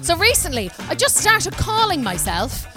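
A woman's voice: very high-pitched, huge pitch swings, normal speed, music in the background. A woman performing a stand-up comedy routine to a live audience.

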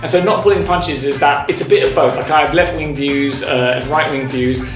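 A man's voice: fairly high pitch, a little fast, a bit bright. A man, a stand-up comedian being interviewed, chatting earnestly and often reworking his phrases. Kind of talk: casual interview chat. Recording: roomy sound, music bed behind. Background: music.

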